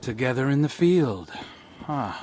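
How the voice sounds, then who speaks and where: A man's voice: medium pitch, expressive, a little slow, a little mellow, a little hiss or hum, natural voice, poor audio. Understated, wry male scout played by an actor. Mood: wry, focused. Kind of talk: scripted video game dialogue.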